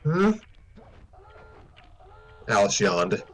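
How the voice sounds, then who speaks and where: A man's voice: on the low side, big pitch swings, very slow. A man reading a story aloud informally, now and then stumbling and repeating words. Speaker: story reader on a stream.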